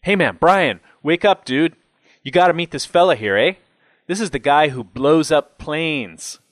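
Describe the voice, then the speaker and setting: A man voicing an excitable, fidgety young jail inmate in an audiobook, gushing like a starstruck fan in slangy dude talk. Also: mid-range voice, moderate pace, breathy edge, dry recording. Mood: starstruck, excited.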